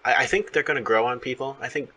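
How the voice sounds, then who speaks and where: A man's voice: mid-range voice, quick pace, pushed and bright, a little hiss or hum. A man in his thirties chatting casually as an enthusiastic fan, rambling and often repeating his words. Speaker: interviewer. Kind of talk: casual fan chat about films.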